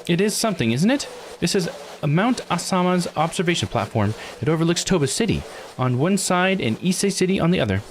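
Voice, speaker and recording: A man's voice: sits high, big pitch swings, a little fast, slight background noise, voice pushed up. A man voicing an eager, proud guide character while reading a visual novel aloud. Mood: bright, informative.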